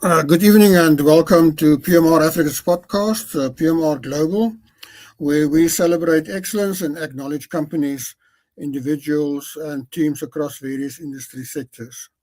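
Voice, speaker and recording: A man's voice: fairly high pitch, a little mellow. A man hosting a podcast interview, speaking formally and politely with frequent hesitations. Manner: polite, halting with many fillers. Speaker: podcast host.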